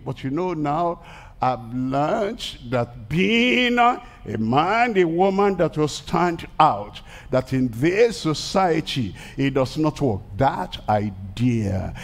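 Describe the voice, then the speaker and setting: Older man, Nigerian accent, crusade preacher, emphatic, fairly high voice. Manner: emphatic preaching, rising to shouted declarations. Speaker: pastor. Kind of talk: crusade sermon. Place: large outdoor crusade stage before a crowd. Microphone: headset mic.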